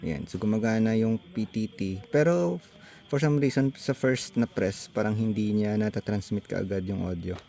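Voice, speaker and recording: A man's voice: fairly low pitch, normal speed, creaky, dark tone. A man with a Filipino accent giving a casual hands-on gear demonstration, thinking aloud in a tentative way. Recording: faint background noise.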